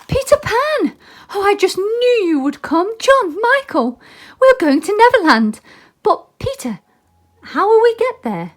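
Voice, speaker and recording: A woman's voice: very high-pitched, very animated, unhurried, voice pushed up, heavily acted. A woman voicing an earnest, trusting young girl full of wonder in a children's storybook. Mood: thrilled wonder. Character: excited, trusting young girl, eldest sister.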